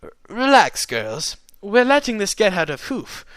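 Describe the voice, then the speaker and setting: A man's voice: high-pitched, huge pitch swings, unhurried, fairly bright tone, no room sound, voice pushed up, full character voice. A man voicing a proper female unicorn pony in a story, politely chastising as she keeps the peace. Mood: chiding.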